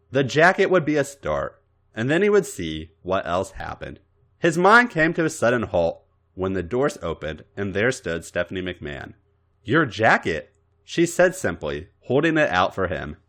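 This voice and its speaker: A man narrating a story aloud in a dramatic, expressive way. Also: low-pitched, normal speed. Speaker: podcast host reading fan fiction. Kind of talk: story narration with acted dialogue.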